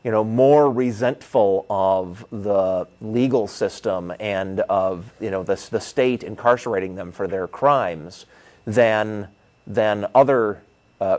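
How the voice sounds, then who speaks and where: A man's voice: fairly low pitch, a little mellow. A man co-hosting a live call-in talk show in a TV studio, musing tentatively about a caller's question.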